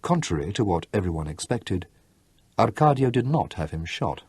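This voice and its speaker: A man reading a novel aloud as an audiobook narrator, measured and storytelling, with slow dramatic pauses. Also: fairly low pitch, sing-song, a little mellow, slightly rough, no room sound, clean studio sound. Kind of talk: scripted literary narration.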